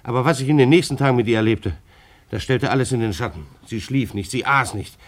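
An actor playing a nervous, dutiful German bailiff who gets agitated and stammers, in a radio drama. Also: male voice, mid-range voice, fast talker, natural voice. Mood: recounting, insistent.

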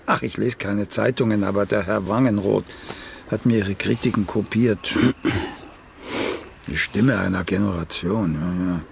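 An actor in a German radio play voicing a vain, needling elderly playwright who speaks in a pompous, deliberate way. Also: male voice, fairly low pitch, vocal fry, slight background noise. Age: older. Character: vain, cantankerous elderly once-celebrated playwright.

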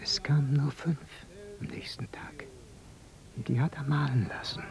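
Male actor, whispering village gossip, gravelly and dark, fairly high voice. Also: steady tone, normal speed, lightly acted. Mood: hushed gossip. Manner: hushed, confiding gossip. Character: gossiping village man spreading news.

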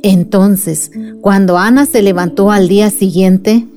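Actress as a very mellow, nurturing storyteller. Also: female voice, mid-range voice, fairly even intonation, unhurried, soft background music, lightly acted. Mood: gentle reassurance. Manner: soothing, nurturing. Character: gentle wise woman telling a child a story. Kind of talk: radio drama storytelling.

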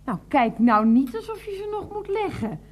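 An actress playing a sarcastic, bossy wife in a radio play. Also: female voice, high-pitched, very animated, normal speed. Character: Claire, sharp-tongued sarcastic wife.

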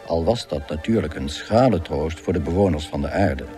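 An actor playing the dry narrator of a galactic guidebook, delivering scripted comic radio narration with measured, deadpan irony. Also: male voice, a bass voice, soft background music. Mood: wry.

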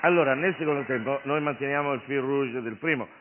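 A male stage comedian addressing an audience in a conversational, digressive monologue with hesitant pauses. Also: mid-range voice, background noise. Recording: phone-like radio broadcast of stage show.

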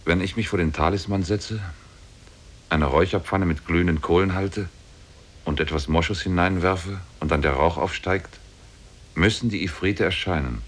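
A man's voice: deep voice, moderate pace. An actor playing a mighty, solemn demon sorcerer in love with his captive, in a 1950s German radio drama. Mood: lovestruck. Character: mighty demon sorcerer, lovestruck captor of a woman. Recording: old 1950s radio play on cassette.

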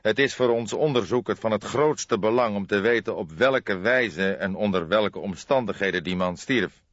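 A man's voice: fairly low pitch, a bit bright, no room sound, lo-fi sound, pitched above the performer's own voice. A man voicing an old police detective in an audiobook, courteous and formal but insistent, with restrained impatience. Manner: deliberate, formal, spelling things out carefully.

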